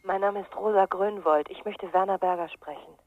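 Over a narrow, tinny phone line comes a woman of middling pitch, a reserved caller in a radio drama. She leaves her message in a businesslike way. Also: steady tone, moderate pace. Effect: telephone filter. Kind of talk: German radio drama acting.

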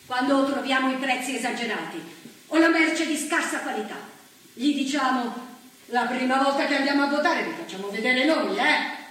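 A woman's voice: high voice, normal speed, a bit bright. A woman on stage delivering a rousing, declamatory political speech. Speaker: stage actress. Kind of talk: theatrical political oration. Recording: echoey stage recording from far off.

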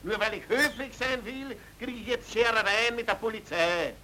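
An actor playing a nervous, evasive, blustering Viennese witness in an old German radio play, speaking agitated, repetitive colloquial dialect. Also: male voice, sits high, normal speed, pushed and bright. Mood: indignant, blustering. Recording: faintly noisy old radio drama recording.

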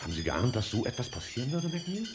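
An actor playing a commanding, excitable scientist in a 1950s radio drama, emphatic and directive. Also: male voice, medium pitch, quick pace, roomy sound, lightly acted. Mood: alarmed. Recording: 1950s radio play with music.

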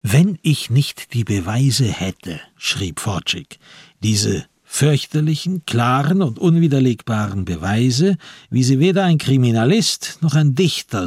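A man giving a deliberate, solemn scripted literary narration for a radio drama. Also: medium pitch, slightly rough, dry recording, studio quality.

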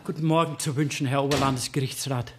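An actor playing a deferential court secretary in a radio play, speaking in a formal, obsequious manner. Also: male voice, mid-range voice, steady tone, fast talker, noisy background, natural voice. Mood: eager deference.

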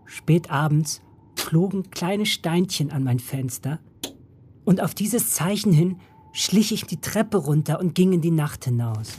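A man's voice: a little high, animated, a little slow, slight background noise. An actor playing a man who recalls a secretive boyhood friendship in reflective, literary first-person radio drama narration. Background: loud music.

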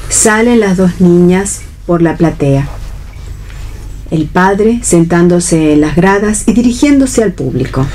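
A woman's voice: lowish voice, lively intonation, slow, mellow. A woman reading stage directions in scripted narration for a radio play.

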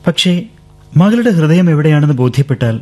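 An actor playing an earnest young suitor who gently coaxes a woman for an answer. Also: on the high side, lively intonation, a little fast. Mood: coaxing. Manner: gentle and coaxing. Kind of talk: 1970s radio drama acting.

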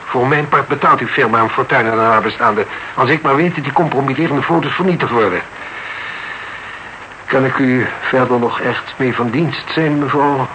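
A man's voice: mid-range voice, moderate pace, lightly acted. An actor in a radio drama playing a cynical, blunt older businessman with a formal, haughty manner. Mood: defiant, cynical. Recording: noisy radio drama recording.

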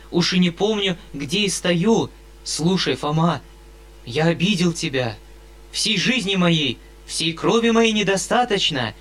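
A man's voice: high-pitched, fairly bright tone, voice pushed up. Man doing a fervent, overwrought old colonel. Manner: fervent, emotional outpourings. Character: kindly, overwrought retired colonel uncle.

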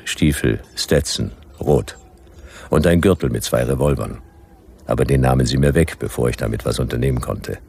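An actor playing a laconic, weary private detective in a German radio crime drama, terse and deadpan. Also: male voice, extremely low pitch, slight background noise. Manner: terse, deadpan first-person narration.

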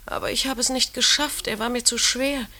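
A woman's voice: on the high side, steady tone, a little fast, sharp tone. A woman acting a genteel, anxious official's wife in a 1950s German radio play, polite and dramatically pleading. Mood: frightened pleading. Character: genteel, anxious police chief's wife hiding a secret. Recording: old radio recording, faint noise and clicks.

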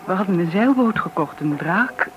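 An actress playing a composed, apologetic widow, speaking with restraint and some hesitation in a naturalistic style. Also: female voice, fairly low pitch, expressive, moderate pace, slight background noise, lightly acted. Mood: sorrowful, restrained. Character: composed, apologetic young-sounding widow greeting old friend. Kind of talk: naturalistic radio play acting. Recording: dull radio recording, background crowd bustle.

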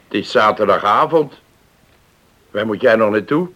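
A man's voice: fairly low pitch, expressive, normal speed, fairly bright tone. Curt, stern father, muffled recording. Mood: stern, irritated. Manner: curt, commanding. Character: stern, controlling father of a grown daughter. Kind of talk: radio play acting.

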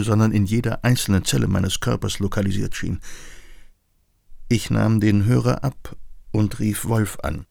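An actor playing a tormented addict recounting his craving in literary radio play narration, deliberate and grave. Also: lowish voice, gravelly, a little mellow, no echo, clean studio sound.

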